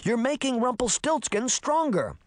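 A man's voice: extremely high pitch, sing-song, normal speed, voice pushed up. A man voicing an urgent, exasperated girl in a storybook, scolding like an older sister.

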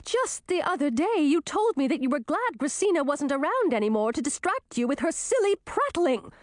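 A vain and jealous young princess in a fairy tale, performed by a woman reader. Her voice sits very high and leaps about with spluttering, theatrical indignation. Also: big pitch swings, normal speed, no room sound, studio quality. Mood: indignant.

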